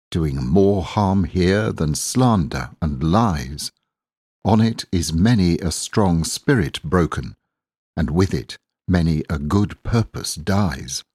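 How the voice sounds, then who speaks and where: A man reading inspirational verse aloud in a deliberate, earnest, exhorting manner. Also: deep voice, lively intonation, darkish tone, husky, dry recording, studio quality. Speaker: poetry reader. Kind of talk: measured inspirational poetry recitation.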